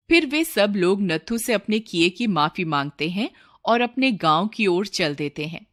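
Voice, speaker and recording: A woman reading a scripted moral story as a storyteller. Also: medium pitch.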